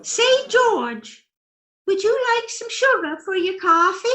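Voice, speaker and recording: A ditzy sweet radio wife, super high girlish voice, slow, roomy echo. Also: sing-song, pushed and bright, full character voice. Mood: breezy, sweet. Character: sweetly naive, scatterbrained wife in a radio comedy. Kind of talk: 1940s radio comedy acting. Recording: roomy, echoing recording.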